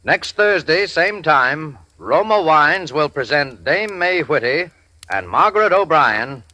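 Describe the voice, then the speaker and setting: Old radio announcer guy, bright voice, bouncy, very formal. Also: on the high side, animated, slow pace, rough recording.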